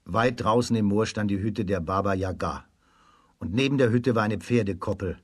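A man with a husky voice narrating a children's radio fairy tale in a dramatic storytelling manner. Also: on the low side, normal speed.

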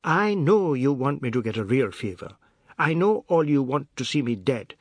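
A man reading a novel aloud, voicing a touchy, frightened man lying sick in his room, in a quick, high-pitched, mocking way. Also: expressive, creaky, a bit bright, husky, no room sound, clean studio sound, exaggerated voice. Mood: bitter.

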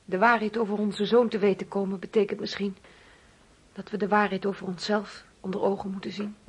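A woman's voice: medium pitch, level tone, fairly quick, husky. An actress playing a doting, insistently hospitable middle-aged mother in a Dutch radio play, coaxing and fussing. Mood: grave.

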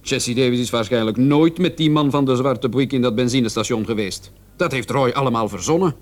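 An actor playing a keen, insistent junior police detective in a radio play, speaking pointedly and emphatically. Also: male voice, medium pitch, brisk, natural voice. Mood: insistent, accusing. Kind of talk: Dutch-language radio drama dialogue.

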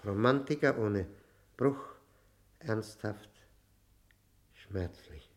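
Brooding, low-voiced convict. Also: male voice, animated. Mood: wistful, brooding. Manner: halting, reflective, ironic, theatrically articulated. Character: bitter, brooding, educated male convict looking back on youth. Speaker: actor in a radio play. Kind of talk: 1960 German radio drama acting.

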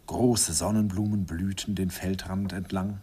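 A wistful, husky narrator. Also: male voice, low voice, steady tone, a little slow, dark tone, exaggerated voice. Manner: wistful, reminiscing. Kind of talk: reflective radio play narration.

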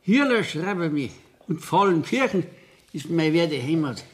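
An actor playing a simple, plain-spoken older country man in a radio play, with a rural Bavarian accent and a halting manner. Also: fairly high pitch, sing-song. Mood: earnest. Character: simple, cautious older country man bringing his savings. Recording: radio recording with clear noise.

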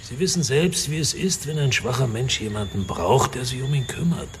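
A man playing a coarse, domineering middle-aged father in a radio drama, theatrical and gravelly. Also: medium pitch, expressive, normal speed, noisy background, natural voice. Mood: dark, reflective. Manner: theatrical, swinging from purring to hushed. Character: coarse, domineering Scottish-born wealthy father confessing dark secrets.

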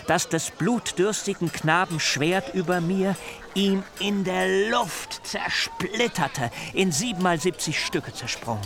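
A man's voice: fairly high pitch, fairly bright tone. An actor playing a boastful, plaintive male wanderer who tells of his misfortunes in a theatrical, declamatory way for a radio drama. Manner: theatrical, declamatory, drawn-out lamenting. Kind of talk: literary radio drama acting.

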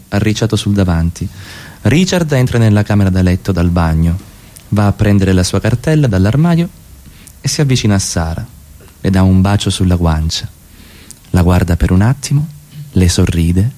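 A man's voice: deep voice, dark tone. A man reading out stage directions as the narrator of a radio play.

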